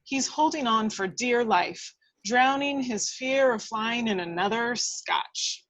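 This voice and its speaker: A woman's voice: a little high, animated, takes it slowly, fairly bright tone. A woman reading stage directions as the narrator of a script table read, in a dramatic storytelling style.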